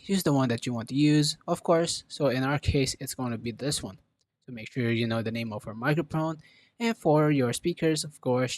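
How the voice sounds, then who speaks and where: A man narrating a casual step-by-step software tutorial in an informal, instructional way. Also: medium pitch. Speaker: tutorial presenter.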